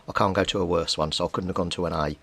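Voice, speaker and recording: A man's voice: steady tone, very fast, vocal fry, fairly bright tone, slightly rough, no echo. A middle-aged man narrates hands-on repair work informally, thinking aloud as he goes. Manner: thinks aloud while working. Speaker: hobbyist radio repairer and video maker. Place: indoor cluttered home workbench. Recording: rumbly background noise.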